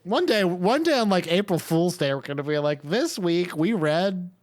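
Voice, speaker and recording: A man co-hosting a comedy podcast, cracking deadpan jokes and slipping into silly impressions. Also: sits high, moderate pace, no room sound. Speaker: podcast co-host. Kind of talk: casual comedic chat.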